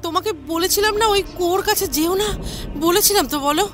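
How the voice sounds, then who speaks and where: A woman's voice: extremely high pitch, quick pace, fairly bright tone, faint music behind. A woman playing a frightened, anxious matriarch of a village household in an audio horror drama, fearful and pleading.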